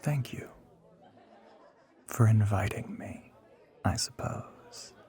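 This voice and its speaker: Man voicing a slow, whispering, teasing ancient vampire. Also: fairly low pitch, mellow, a faint crowd. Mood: wry, sincere. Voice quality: breathy, whispery. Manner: slow, teasing, condescending, formal diction. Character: ancient teasing male vampire, suave, menacing, possessive. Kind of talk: intimate romantic audio roleplay.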